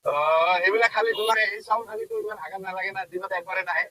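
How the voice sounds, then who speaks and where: A man with a high voice talks extremely fast, in a dry sound. He plays the official on a call, firing brusque questions like an interrogation. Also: fairly even intonation, bright, forceful tone, dry recording, rough recording. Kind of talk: questioning phone conversation.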